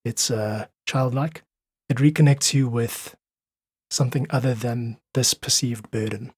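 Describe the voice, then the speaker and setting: A man with a husky voice, recorded close and dry. He talks casually but reflectively, as a musician guest who leans earnestly on his points. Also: medium pitch, steady tone, a little slow, dry recording. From South Africa. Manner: earnest, philosophical, emphatic repetition. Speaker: podcast guest, independent musician.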